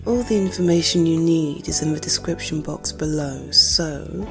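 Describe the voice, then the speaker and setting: A woman with a breathy voice reading a soft scripted promotional outro for her audio work. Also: sits low, moderate pace, over music.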